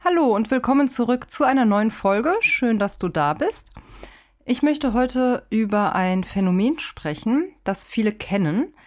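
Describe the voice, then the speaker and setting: A woman hosting a pronunciation podcast, explaining calmly and speaking straight to the listener. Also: fairly high pitch, measured pace. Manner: addresses the listener directly, calm and explanatory.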